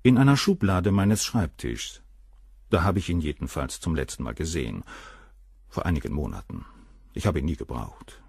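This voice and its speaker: A cynical, self-satisfied surgeon in a radio crime drama. His voice is low and husky, with lively swings in pitch. It is recorded close and dry. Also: normal speed, dry recording, full character voice. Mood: cool. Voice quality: cool, cynical, dismissive. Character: cold arrogant famous male surgeon, middle-aged. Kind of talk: radio play acting.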